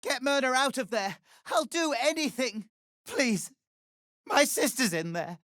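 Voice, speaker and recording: A desperate gnome woman from a fantasy video game pleads with raw emotion. Her voice is high and swings widely in pitch, with slow, anguished phrasing. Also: sing-song, a bit bright, no room sound, studio quality, heavily acted. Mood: pleading, grieving. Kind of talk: video game character dialogue.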